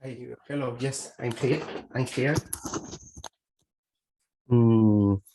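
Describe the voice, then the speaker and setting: A man's voice: mid-range voice, drawn-out words, noisy background. A man from Spain with a Spanish accent, a Spanish native speaker asking a question on a video call, searching for words as he goes. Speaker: webinar participant asking a question. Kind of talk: halting question in a video webinar.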